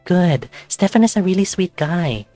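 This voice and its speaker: A man's voice: high-pitched, lively intonation, normal speed, warm tone, faint music behind, voice pushed up. A man voicing a bubbly, gossipy female fossa barista, an animal character in a visual novel read aloud. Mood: eager, confiding. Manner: eager, gossipy, exaggerated whispering.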